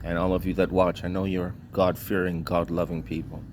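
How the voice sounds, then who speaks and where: A man's voice: low voice, little pitch movement, brisk, noisy background. A man from Trinidad and Tobago delivering a blunt, indignant vlog rant in short clipped sentences, swearing freely. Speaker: vlogger. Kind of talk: ranting monologue.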